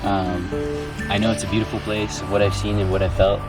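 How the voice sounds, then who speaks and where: A young man talking casually to camera as a vlogger, relaxed and reflective with plenty of ums. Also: on the low side, a little fast, rough recording. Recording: music bed loud underneath.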